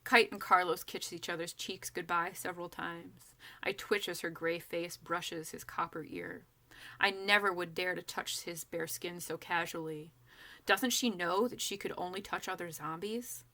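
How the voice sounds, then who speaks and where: A woman reading a first-person novel aloud as an author, narrating expressively and acting out the dialogue. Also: lowish voice. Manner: animated, acts out dialogue.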